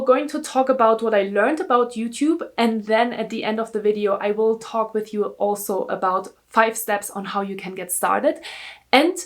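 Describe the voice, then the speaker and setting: A young German woman whose first language is German, chatting upbeat to camera in her vlog with a German accent, repeating words for emphasis. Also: fairly high pitch. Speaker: YouTuber. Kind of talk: casual talking-to-camera vlog. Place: indoors, seated in front of a camera.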